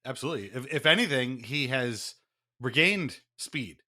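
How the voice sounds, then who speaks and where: A man's voice: medium pitch, expressive, normal speed, pushed and bright. A man hosting a podcast in a theatrical, announcer-like, mock-formal way, moving between banter and dramatic reading. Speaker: podcast host.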